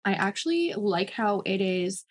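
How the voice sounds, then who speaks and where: A woman's voice: medium pitch, very animated, unhurried. A woman in her mid-thirties giving a casual makeup review as a beauty vlogger, chatty and emphatic, often repeating words for emphasis.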